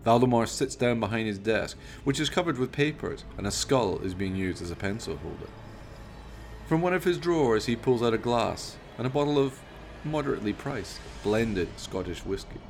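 Male narrator for scripted audio drama, medium pitch, plenty of vocal fry. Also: lively intonation, normal speed, a little hiss or hum. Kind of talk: scripted radio play narration.

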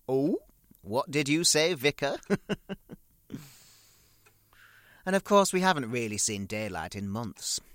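A man's voice: lowish voice, a bit bright, no echo. A man playing a fussy, pompous, middle-aged British son with petty grievances, in a comic dramatic monologue. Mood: prim, aside. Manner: prim, pompous, florid wording, prone to indignation.

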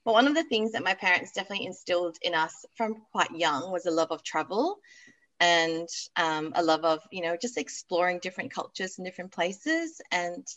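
A woman's voice: fairly low pitch, expressive, fairly quick, fairly bright tone. A woman in her thirties from Malaysia, chatting as an interview guest about her business and family, laughing easily with frequent ums. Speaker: guest, activewear business founder. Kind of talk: podcast interview chat.

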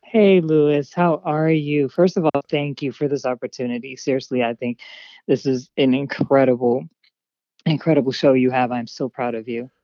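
A woman guest in a casual podcast interview, chatting warmly and laughing easily. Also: extremely low pitch, steady tone, normal speed, no room sound, dull audio. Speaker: guest actress.

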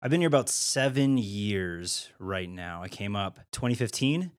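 A man in his forties from the Midwest, an artist and teacher talking through an interview in a relaxed, rambling, friendly way. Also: fairly low pitch, measured pace, smooth, no room sound, studio quality. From Midwestern United States. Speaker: guest, artist and art teacher. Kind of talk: casual interview chat. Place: small caboose art studio.

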